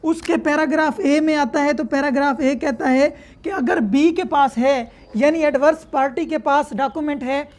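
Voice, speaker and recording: A woman's voice: high voice, steady tone, normal speed, few pauses, a little mellow. A woman with a Pakistani accent lecturing in an explanatory way, reading formal text, then posing questions and answering them. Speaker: law lecturer.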